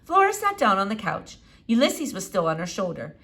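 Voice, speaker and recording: A woman narrating a children's novel as an expressive read-aloud. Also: mid-range voice, brisk. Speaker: storybook reader.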